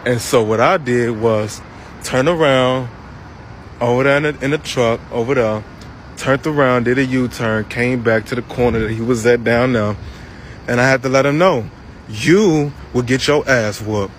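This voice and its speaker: A man telling a personal story and making an earnest appeal, deliberately, with drawn-out pauses. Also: medium pitch, steady tone. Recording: faint outdoor traffic noise.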